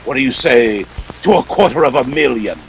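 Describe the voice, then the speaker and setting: A man's voice: mid-range voice, animated, a little fast, slight background noise, close to an everyday voice, poor audio. A man playing a suave, ruthless treasure-hunting villain in a radio play, with pompous, courtly speech and sly chuckles. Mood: genial, scheming. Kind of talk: 1940s radio play acting.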